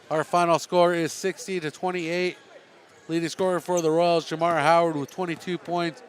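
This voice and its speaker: A middle-aged man doing play-by-play and then a joking postgame interview in a high school gym. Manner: chatty, joking, frequent restarts. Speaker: play-by-play broadcaster. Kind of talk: sports commentary and postgame interview.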